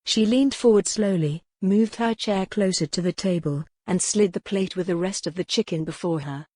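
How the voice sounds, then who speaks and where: A woman's voice: on the low side, normal speed, a little mellow, no echo. Woman narrating fiction, close and dry. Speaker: audiobook narrator. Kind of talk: scripted narration of historical romance fiction.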